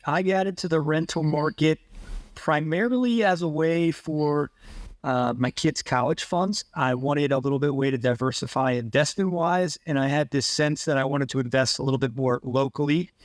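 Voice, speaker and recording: A man from Lancaster, Pennsylvania speaking as a podcast guest, thoughtful and pausing to find his words. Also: fairly high pitch, no echo. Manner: thoughtful, stops to search for words. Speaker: guest, landlord. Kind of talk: podcast interview.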